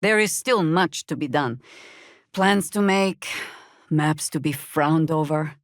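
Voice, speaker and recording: An actress playing a seasoned, middle-aged druid warrior woman in a fantasy video game, commanding and wry, with a dry, deliberate delivery. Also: deep voice, dry recording. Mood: solemn.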